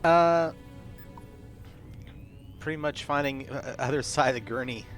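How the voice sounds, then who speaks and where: A man with an average-pitched voice talks casually as a player in a roleplaying game. His delivery is conversational and a little hesitant, with quiet music in the background. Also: measured pace.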